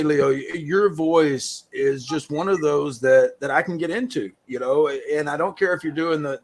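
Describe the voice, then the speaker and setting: Chatty folksy host. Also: male voice, a little high. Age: middle-aged. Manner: chatty, easy-going, folksy. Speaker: podcast host. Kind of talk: casual interview chat.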